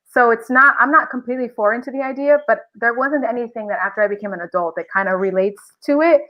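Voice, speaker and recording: A woman's voice: on the high side, fast. Enthusiastic woman guest on lo-fi podcast call. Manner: enthusiastic, expressive. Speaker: interview guest, audio drama voice actress. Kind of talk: casual podcast chat.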